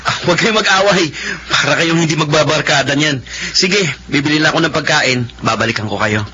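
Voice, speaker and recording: A man's voice: on the high side, brisk, muffled recording, background noise, lightly acted. A man playing an easygoing young friend in a radio drama, casual and friendly. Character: easygoing young male pal of the couple. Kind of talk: Filipino radio drama acting.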